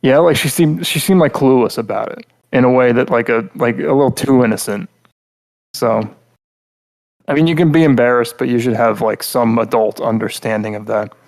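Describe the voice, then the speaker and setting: A man co-hosting a podcast, talking through a casual critique in a rambling, halting way and often repeating words mid-thought. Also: mid-range voice, gravelly, a little mellow, low-quality recording. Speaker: podcast host reviewing game characters.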